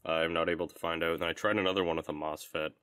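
A man's voice: sits low, level tone, fast, fairly bright tone, smooth. A young man casually narrating a DIY electronics project, with dry, understated humor. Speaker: DIY electronics video maker.

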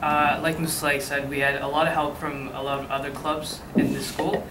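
A teenage boy reporting back at a student meeting, off-mic with clear room noise. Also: fairly high pitch, little pitch movement, normal speed. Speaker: student council member. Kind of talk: informal recounting. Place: school classroom, indoors. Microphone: distant camera microphone. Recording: echoey, distant, noisy room.

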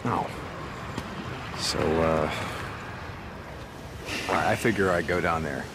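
An actor playing a gruff, guarded outlaw biker turned militia corporal in a video game, with an American accent. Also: male voice, very deep voice, very slow, background noise, exaggerated voice. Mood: determined. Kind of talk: video game cutscene acting. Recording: game cutscene with music behind.

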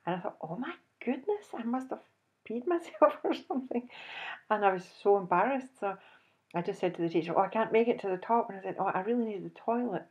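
A woman in her fifties with a Scottish accent, telling a personal story to camera in an animated, chuckling way. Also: mid-range voice, highs cut off. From Scotland. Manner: animated, chuckles often, acts out dialogue. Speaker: host. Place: indoors, small room, plain backdrop.

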